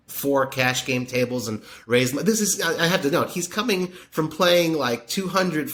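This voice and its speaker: A man, a podcaster telling a friendly story in a casual interview, rambling with many fillers and restarts. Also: medium pitch. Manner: warm, admiring storyteller. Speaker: podcaster and poker media guest.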